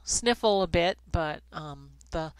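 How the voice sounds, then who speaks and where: A middle-aged woman with a stuffy, congested nose giving a conversational photography software tutorial, with frequent ums and restarts. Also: fairly low pitch, animated, slow pace, clean tone, dry recording. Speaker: photography instructor. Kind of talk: screen-recorded software tutorial. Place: Kansas City, Missouri, USA.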